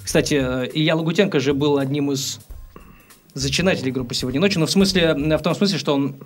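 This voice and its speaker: A man hosting a relaxed studio conversation talks quickly in a fairly high voice. He hesitates often, filling gaps with "uh" and restarting his questions. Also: soft background music. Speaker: radio host. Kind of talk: casual studio chat.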